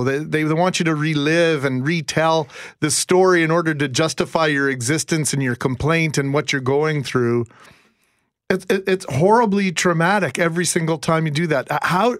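A man's voice: on the high side, moderate pace, dry recording, clean studio sound. A Canadian man hosting a radio interview, laying out long, reflective questions with emphasis. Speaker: radio host.

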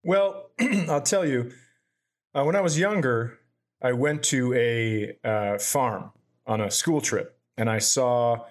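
A man playing a rambling male investor judge who tells a slow, deadpan story. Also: mid-range voice, close to an everyday voice.

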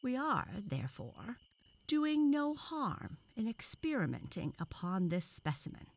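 Woman voicing a pompous, severe old-school frog professor, slow delivery. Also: on the high side, sing-song, no room sound, voice pushed up, full character voice. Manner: pompous, sarcastic, lecturing. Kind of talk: children's storybook reading.

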